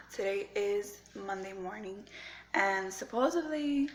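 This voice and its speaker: Raspy-voiced woman vlogging at home. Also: mid-range voice, a bit bright, slight background noise. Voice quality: raspy from just waking up. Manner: rambling, lots of fillers. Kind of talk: casual vlog chat. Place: at home, indoors.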